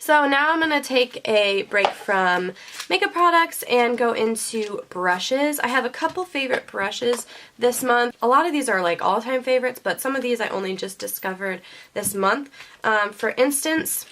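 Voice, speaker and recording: A woman's voice: a little high, animated, normal speed, fairly bright tone, a little hiss or hum. A young woman vlogging her beauty favorites to her camera, chatty and full of ums, a little sniffly from a sinus infection. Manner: chatty, many ums and likes. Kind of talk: casual product favorites chat. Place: indoors, seated before a camera. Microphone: camera mic.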